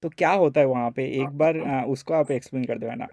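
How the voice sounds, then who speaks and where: A man's voice: mid-range voice, fairly quick, a little mellow, no room sound. A man hosting a casual interview, asking informal, rambling questions peppered with check-in tags. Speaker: interview host. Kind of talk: casual interview in Hindi mixed with English.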